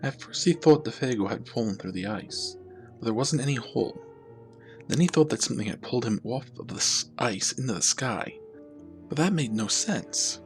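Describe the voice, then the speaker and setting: A man narrating a scripted horror story as a storyteller, in a measured, dramatic way. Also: mid-range voice, animated, faint music behind. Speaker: storyteller, channel host.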